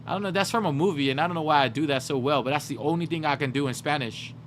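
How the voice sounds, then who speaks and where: A young man livestreaming, chatting casually with his viewers and rambling as he brainstorms jokes out loud. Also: a little high, very fast, slight background noise. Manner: rambling, brainstorming jokes aloud, talking to chat. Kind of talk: casual chat with live viewers.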